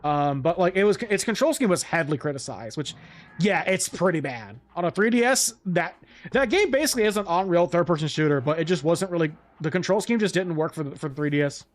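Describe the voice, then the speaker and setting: A man's voice: a little high, expressive, fairly quick. A man hosting a casual let's-play, chatting and rambling with a co-host while he plays, laughing often. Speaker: gameplay host.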